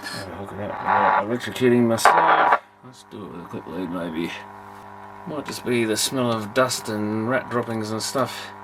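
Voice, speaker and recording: A man's voice: lowish voice, steady tone, moderate pace, very noisy. A man thinking aloud in a casual, unscripted workbench commentary, muttering tentatively as he works. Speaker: amateur electronics repairer presenting. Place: repair workbench indoors.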